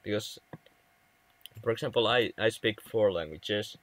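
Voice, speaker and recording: A man's voice: fairly low pitch, measured pace, a bit bright, no echo. A young man from Finland with a Finnish accent, chatting casually with viewers on a game livestream, often stumbling and restarting words. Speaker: livestream gamer.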